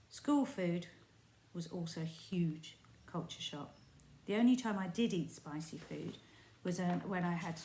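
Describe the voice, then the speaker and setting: A woman teacher reading a chapter of a book aloud in a steady, expressive storytelling voice. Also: on the low side. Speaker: primary school teacher. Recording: roomy echo.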